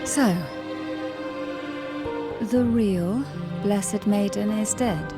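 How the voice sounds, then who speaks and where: Slow, solemn cursed maiden warrior. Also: female voice, medium pitch, over loud music. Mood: resolute. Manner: measured, earnest, formal. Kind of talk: video game voice acting.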